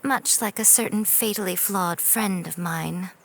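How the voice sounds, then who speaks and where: A woman's voice: medium pitch, measured pace, vocal fry. A woman playing a composed, teasing storyteller in a video game, speaking in a poised, knowing way. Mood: knowing, wry. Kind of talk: dramatic video game acting.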